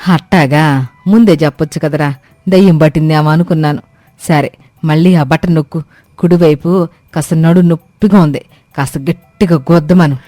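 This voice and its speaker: A theatrical older village mother-in-law, acted by a woman, grumbles and exclaims in a cartoon moral tale. Her voice is dark and slightly low, recorded very dry with soft music behind it. She scolds in earthy, colloquial speech. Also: steady tone, moderate pace, dry recording, lightly acted. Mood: bossy. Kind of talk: animated moral story cartoon dubbing.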